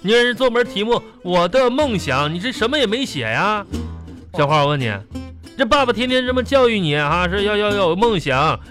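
A man's voice: sits high, sing-song, brisk, fairly bright tone. A man with a northern Chinese accent playing an exasperated, blustering father who sputters and repeats himself in a comedic radio drama. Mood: scolding. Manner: sputtering, repeats words when flustered. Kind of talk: live comedic radio drama, earthy and colloquial. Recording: music playing behind.

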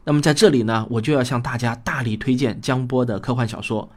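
A man's voice: mid-range voice, fairly even intonation, a little fast, a little mellow. A man hosting a programme, introducing it conversationally and speaking straight to listeners. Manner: conversational, addresses listeners directly. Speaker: host and audio drama director.

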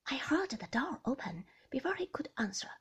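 A woman narrating a novel in a hushed, intimate whisper, as a whispered audiobook reading. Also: sits high, big pitch swings, moderate pace. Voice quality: breathy whisper.

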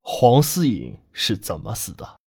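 A man's voice: lowish voice, brisk, a little mellow, breathy edge. A man voicing a grieving middle-aged farmer turned killer, terse, menacing and insistent, in an audiobook story. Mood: cold, insistent.

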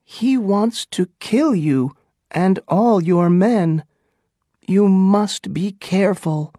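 A man voicing an earnest, devoted young woman who speaks softly and pleads. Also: sits high, takes it slowly, no room sound, clean studio sound, pitched above the performer's own voice. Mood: fearful.

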